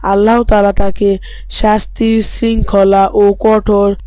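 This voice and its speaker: A woman's voice: medium pitch, level tone, slow pace, warm tone, no echo, lightly acted. A woman voicing a pious, studious young boy who recites earnestly and formally in a cartoon story. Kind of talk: scripted cartoon story dialogue.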